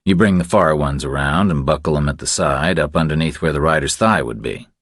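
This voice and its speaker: A man's voice: extremely low pitch, brisk, a bit bright, dry recording, clean studio sound. A man reading a thriller audiobook as a scripted narration.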